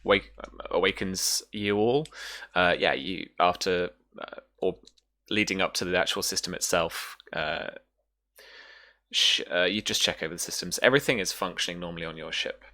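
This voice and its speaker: Male game master, low-pitched with a bright edge, feeling his way haltingly through tabletop narration. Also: fairly even intonation. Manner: hesitant, halting delivery.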